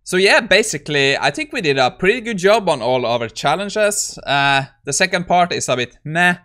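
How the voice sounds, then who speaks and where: A man in his thirties talks through code in a casual, conversational way. He sounds like a tech creator at his desk, speaking at a middling pitch into a close microphone. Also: very animated, moderate pace, bright, forceful tone. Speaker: tech YouTuber. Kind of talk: casual screen-recorded coding walkthrough.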